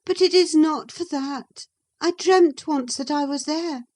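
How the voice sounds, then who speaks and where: A woman's voice: sits high, measured pace, darkish tone, voice pushed up. A woman reading an audiobook, voicing a passionate, willful young woman who speaks with emphatic feeling. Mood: impassioned. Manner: impassioned, emphatic.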